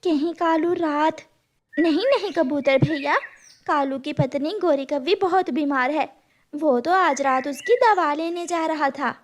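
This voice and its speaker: A woman's voice: high voice, a little slow, a little hiss or hum. A woman voicing an earnest, polite female sparrow neighbour in a children's cartoon story. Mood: doubtful.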